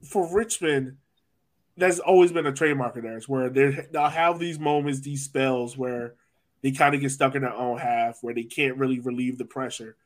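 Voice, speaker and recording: A man hosting a sports podcast, talking soccer casually in an animated, informal way with jokey asides. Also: medium pitch, normal speed. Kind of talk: casual soccer chat and analysis.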